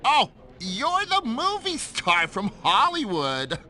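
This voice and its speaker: A man's voice: very high voice, huge pitch swings, takes it slowly, bright, forceful tone, faint music behind, full character voice. An actor playing a chatty, genial male socialite at an embassy party in a video game. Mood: sociable. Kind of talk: video game cutscene acting.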